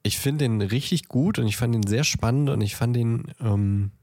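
A man chatting casually as a podcast co-host, thinking aloud in a halting way as he ranks things. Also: mid-range voice, a little mellow, no room sound. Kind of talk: casual chat, ranking films.

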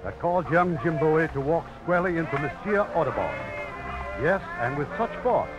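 Animated vintage radio host, muffled recording. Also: male voice, on the high side, over music. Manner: formal, dramatic, deliberate phrasing. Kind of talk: formal 1950s radio presenting and scripted narration.

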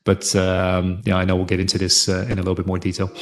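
A middle-aged man from the Netherlands, speaking conversationally as an interview guest, halting and often saying "you know". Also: low-pitched, completely flat delivery, music in the background. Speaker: interview guest, fintech consultant. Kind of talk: conversational career account.

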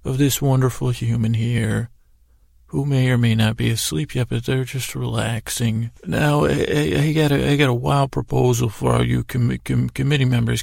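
A man hosting a sleep podcast with a whimsical, meandering bedtime monologue, stammering and repeating words as he goes in a gravelly, creaky voice. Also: mid-range voice, no echo, studio quality. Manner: whimsical, gently addressing imagined listeners. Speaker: podcast host.

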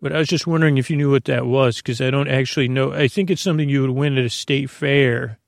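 Creaky-voiced man, rambling soothingly. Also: mid-range voice, fairly even intonation, fast talker, dry recording, clean studio sound. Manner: meandering, hesitant, often trailing into tangents; gentle, lulling, soothing. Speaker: host of a bedtime-story podcast. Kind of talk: rambling sleep-podcast monologue.

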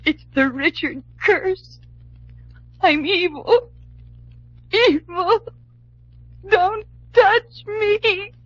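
A woman's voice: very high-pitched, lively intonation, drawn-out words, pauses often, no echo, full character voice. An actress playing a despairing young woman who believes she is cursed, tearful and melodramatic, in an old-time radio drama. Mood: anguished despair. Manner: melodramatic, anguished. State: tearful, sobbing. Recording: muffled old radio recording.

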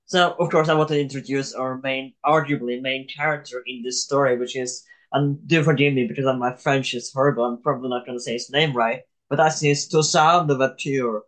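Man, podcast interviewer, medium pitch, conversational, informal, halting questions. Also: fairly even intonation, fairly bright tone, poor audio.

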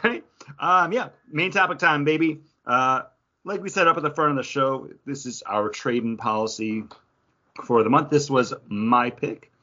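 A man's voice: medium pitch, normal speed, pushed and bright, dull audio. A man co-hosting a podcast, chatting casually in a comic book review. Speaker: podcast co-host.

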